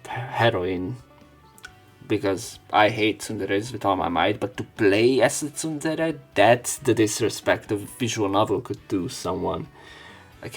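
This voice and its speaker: A man doing let's play commentary, reading visual novel narration aloud with opinionated asides. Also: lowish voice. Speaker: let's player.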